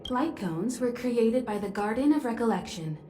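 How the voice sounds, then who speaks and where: A woman's voice: on the high side, lively intonation, normal speed, dark tone, roomy sound, slight background noise, natural voice. A woman voicing a poised, knowing space-train navigator in a video game, composed and reassuring. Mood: explanatory. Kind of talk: video game character dialogue.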